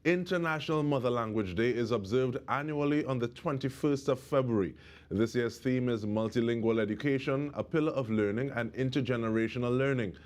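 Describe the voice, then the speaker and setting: A male interview host. He speaks in a mid-pitched voice, in the manner of a broadcast interview, putting questions and comments to a guest. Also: a little fast.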